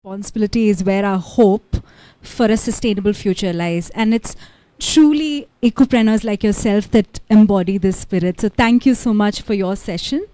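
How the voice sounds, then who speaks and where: Woman moderator, formal handover between speakers, mellow tone, dry sound. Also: medium pitch, normal speed, dry recording, low-quality recording. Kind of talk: introducing speakers at a conference.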